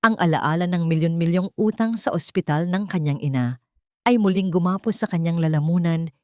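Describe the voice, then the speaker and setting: Low-voiced woman narrating a story, dry sound. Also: normal speed, no echo. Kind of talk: scripted dramatic story narration.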